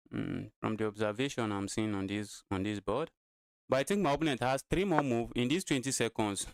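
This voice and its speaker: Smooth-voiced man in his twenties, repeating himself. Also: fairly low pitch, no room sound. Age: 20s. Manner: talks to viewers, repeats his phrases. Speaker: chess streamer. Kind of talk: casual live-stream chess commentary.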